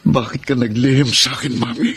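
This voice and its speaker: An actor playing a proud, self-pitying husband and son, wounded and melodramatic, in a Filipino radio drama. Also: male voice, mid-range voice, steady tone, a little fast, fairly bright tone, lightly acted. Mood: bitter, hurt. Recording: noisy ambience behind the voice.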